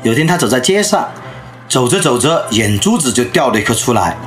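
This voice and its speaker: A man in his thirties or forties delivers a storytelling lecture with a lively voice whose pitch rises and falls widely. He draws listeners in as he tells his anecdotes. Soft music plays faintly underneath. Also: a little fast.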